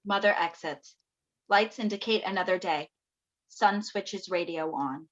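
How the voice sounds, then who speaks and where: A woman's voice: lowish voice, a little slow, a bit bright. Dull-sounding woman reading stage directions. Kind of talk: scripted narration of a play reading. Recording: dull, lo-fi video call.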